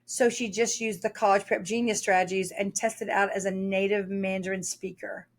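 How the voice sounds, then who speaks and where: A woman's voice: mid-range voice, little pitch movement, normal speed. A woman guest on a podcast, an author explaining test preparation in a talkative way, telling stories with frequent fillers. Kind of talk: podcast interview.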